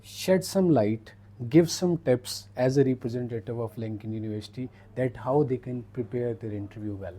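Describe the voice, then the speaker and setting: A man's voice: medium pitch, moderate pace, hardly any pauses, warm tone. A man, hosting a conversational interview as an education consultant.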